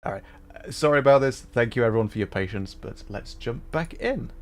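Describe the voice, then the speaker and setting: A man's voice: medium pitch, expressive, brisk. A man hosting a gaming livestream, chatting casually in a polite, hesitant way. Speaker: livestream host, VTuber. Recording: faint background noise.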